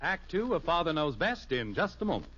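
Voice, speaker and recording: Male sitcom announcer, arch scripted narration, highish and animated, muffled vintage radio. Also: a little fast, noisy background. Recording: muffled old radio recording.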